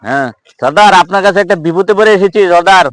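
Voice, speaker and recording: A man's voice: high voice, quick pace, a bit bright, dry recording, clean studio sound. A man playing an earnest, reassuring villager in an improvised comedy skit, repeating himself and constantly checking for agreement. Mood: respectful, pleading. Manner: repetitive, constantly seeking agreement. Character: earnest villager guide organizing a jinn hunt, reassuring.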